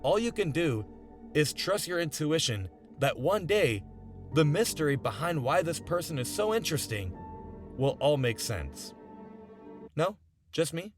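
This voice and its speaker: Man, young adult, scripted essay narration, fairly high pitch, emphatic delivery, background music. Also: sing-song, a little slow. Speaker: video essayist.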